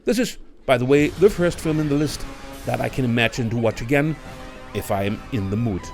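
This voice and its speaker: A man's voice: medium pitch, expressive, a little fast, darkish tone, faint music behind. Man narrating a scripted film countdown. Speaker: video list host and narrator.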